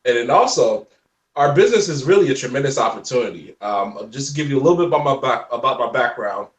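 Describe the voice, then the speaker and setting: A man in his forties from Haiti with a light Haitian accent, chatting as a guest in a relaxed, rambling way. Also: mid-range voice, fast talker, reverberant, recorded from a distance. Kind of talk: casual chat.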